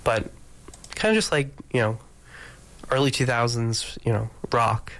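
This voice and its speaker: A man's voice: medium pitch, lively intonation, a little slow, fairly bright tone. A male radio DJ talking casually between songs, congested from allergies, rambling with many ums and restarts. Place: radio studio.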